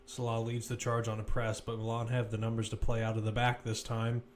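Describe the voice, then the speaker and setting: A man giving live play-by-play football commentary as a commentator, measured but lifting when attacks build. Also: mid-range voice, completely flat delivery, slight background noise.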